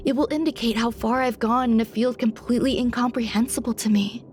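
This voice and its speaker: An actress voicing an aloof genius woman scientist in a video game, speaking in a measured, formal, detached way. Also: fairly high pitch, fairly even intonation, a little mellow, slight background noise, natural voice. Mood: detached, musing. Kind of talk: scripted video game dialogue.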